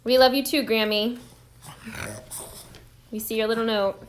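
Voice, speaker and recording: A woman's voice: a little high, steady tone, a little slow, slight background noise. A woman hosting a casual live stream, chatting affectionately to her viewers. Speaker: host and children's book author. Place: indoors in a room, live-streamed. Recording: echoey room.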